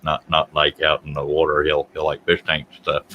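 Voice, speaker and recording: A man's voice: very deep voice, completely flat delivery, moderate pace, muffled recording, slight background noise. A man, hosting a casual livestream chat, joking and self-deprecating as he rambles. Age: 50s. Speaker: livestream host.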